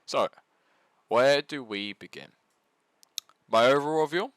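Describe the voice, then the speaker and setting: A man's voice: medium pitch, a little slow, a bit bright, a little hiss or hum. A man narrating a comedic scripted review as a video essayist, mock-serious, sarcastic and sweary.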